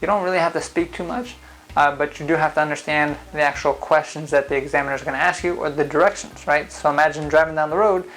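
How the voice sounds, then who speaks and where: Non-native English-speaking man, driving instructor type, relaxed explainer with faint music under him. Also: a little high, fast talker, rough recording. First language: not English. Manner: conversational, explains step by step with frequent "uh" fillers. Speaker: driving school founder and instructor. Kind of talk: casual explainer video.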